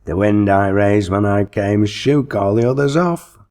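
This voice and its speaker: A man's voice: measured pace, a little mellow, clear, resonant tone, lightly acted. A man voicing a mocking, menacing devil in a storybook, with pompous, archaic, taunting speech. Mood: triumphant. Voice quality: shrill, piercing, unpleasant. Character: mocking, menacing male devil, impatient and gleeful. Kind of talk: storybook character voice.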